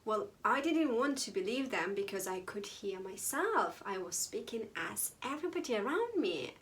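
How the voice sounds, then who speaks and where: A young woman speaks slowly and a touch high, as she chats to her viewers. Her manner is playful and casual, with sudden bright exclamations and mock whispers. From Azerbaijan. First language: Azeri. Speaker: vlogger. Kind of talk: casual personal storytelling to camera.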